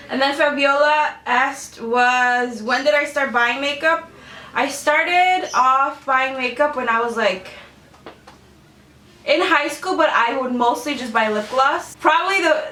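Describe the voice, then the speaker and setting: A woman's voice: high voice, bright, forceful tone. A woman in her twenties chatting casually to camera in a beauty Q&A, rambling with lots of "like"s and little laughs. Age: 20s. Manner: chatty, rambling with many "like"s, giggly. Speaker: beauty vlogger. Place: bedroom filming space. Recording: roomy, from a distance.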